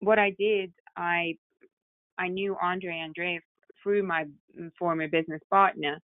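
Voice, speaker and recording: A woman's voice: on the low side, fairly even intonation, unhurried, no room sound. A woman with a Russian accent, telling her story as a company founder in a conversational interview, over a muffled, narrow recording. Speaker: tech company founder, podcast guest. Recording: muffled narrow phone-like sound.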